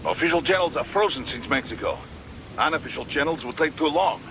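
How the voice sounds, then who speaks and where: An actor playing an anxious mission adviser in a video game, earnest and explanatory. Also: male voice, fairly high pitch, expressive, brisk, background noise. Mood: worried. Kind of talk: video game radio-call acting.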